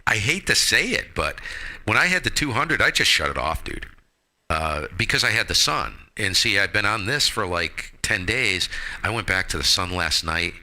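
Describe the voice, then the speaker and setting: A man's voice: fairly low pitch, very animated, moderate pace, bright, forceful tone. A man chatting casually as a ham radio operator, with an emphatic, slangy and colorful way of talking. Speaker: amateur radio operator and streamer.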